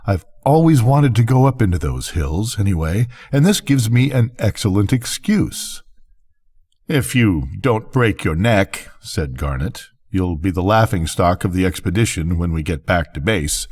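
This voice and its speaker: An older man reading a bedtime story aloud as a measured first-person narrator. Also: lowish voice, animated, darkish tone, slightly rough. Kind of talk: bedtime story narration of classic science fiction.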